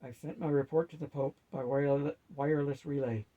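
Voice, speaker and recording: A proper storybook knight voiced by a male reader, mellow and husky. He talks formally, with a wry, old-fashioned turn of phrase. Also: medium pitch, steady tone, moderate pace, highs cut off, close to an everyday voice. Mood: wry concern.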